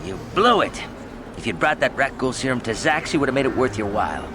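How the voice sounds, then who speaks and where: An actor playing a scornful, greedy underling in a video game. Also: male voice, mid-range voice, big pitch swings, a little fast, creaky, noisy background.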